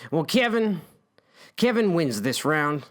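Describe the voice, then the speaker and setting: A man's voice: fairly high pitch, sing-song, slow pace. A man narrating his own comic story in an informal, mock-dramatic way with conversational asides.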